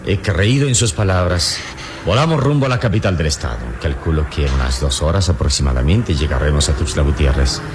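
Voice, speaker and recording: Super deep radio serial adventure hero, calm n gallant, theatrical, noisy plane hum behind. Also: male voice. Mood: assured, grave. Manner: deliberate, theatrical, reassuring. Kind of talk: old Latin American radio serial acting. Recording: noisy radio drama with engine drone.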